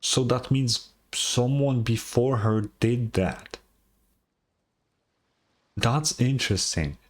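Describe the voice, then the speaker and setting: A man's voice: medium pitch, slow pace. A tired man giving casual game reaction commentary as a streamer, musing and thinking aloud. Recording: game music behind the voice.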